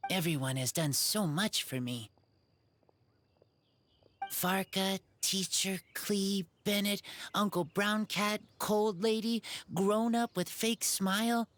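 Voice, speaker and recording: A woman playing an earnest, loyal feral boy in a video game, speaking in halting, simple sentences. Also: medium pitch, slight background noise, lightly acted. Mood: earnest, grateful.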